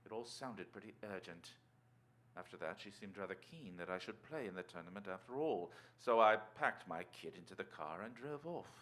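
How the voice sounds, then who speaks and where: An actor playing a suave, calculating former tennis star in a radio drama, with an affable, glib, urbane manner. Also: male voice, sits low, animated, normal speed, fairly bright tone. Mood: brooding, calculated. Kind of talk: stage radio-drama acting.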